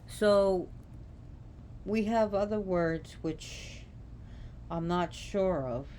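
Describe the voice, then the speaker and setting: A woman giving an informal, unscripted explanation, halting as she searches for words. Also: fairly low pitch, a little mellow. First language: not Gaelic. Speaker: presenter explaining names of a Celtic deity. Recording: clear background noise.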